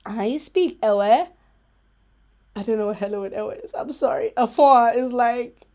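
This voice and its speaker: A woman hosting a casual, rambling livestream chat, playful, giggly and self-mocking, with an animated, emphatic way of talking. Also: fairly high pitch, a little slow. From Africa. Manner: animated, emphatic, repeating herself for effect. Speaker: host of a chat vlog.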